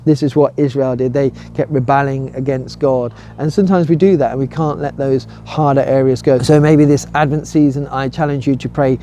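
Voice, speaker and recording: A man's voice: medium pitch, steady tone, fairly quick, few pauses, mellow, slight background noise. A man speaking as a curate, giving an informal Advent devotional message.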